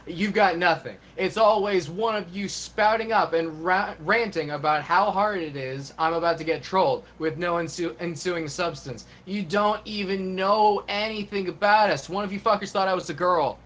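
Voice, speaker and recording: A man's voice: sits high, moderate pace, hardly any pauses, a bit bright. A man playing a crude, cocky young internet chatter in a comic reading, sarcastic and wordy. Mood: scornful. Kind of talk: comic acted chat-log reading.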